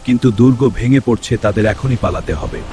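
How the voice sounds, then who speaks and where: A man's voice: medium pitch, quick pace, noisy background. A man reading scripted story narration for a cartoon.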